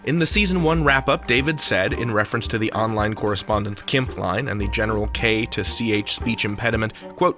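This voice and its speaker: Bright, animated male podcast host. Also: mid-range voice. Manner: playful, rhetorical, conversational. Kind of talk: chatty, comic podcast presenting.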